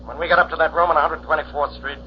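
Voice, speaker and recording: An actor playing an easygoing police inspector with a casual, dry delivery in a 1940s radio crime drama. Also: male voice, fairly high pitch, fairly even intonation, very fast, lightly acted. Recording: muffled, hissy 1940s radio recording.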